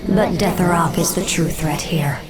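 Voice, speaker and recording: A woman's voice: fairly low pitch, a little slow, lightly acted. A woman playing a cold, vengeful undead elf commander in a dramatic video game style. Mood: cold, scheming. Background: loud game music.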